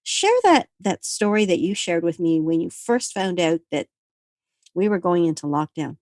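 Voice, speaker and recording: A woman's voice: fairly low pitch, animated, normal speed, a little mellow, no room sound, studio quality. A woman hosting a conversational interview, prompting and responding to her guest. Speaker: interview host.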